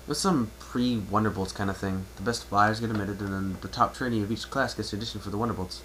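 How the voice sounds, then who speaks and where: A man's voice: lowish voice, fast talker, fairly bright tone, natural voice. A man playing a young male pony traveler who talks in a polite, casual, slightly hesitant and reserved way. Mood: guarded. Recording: noisy amateur home recording.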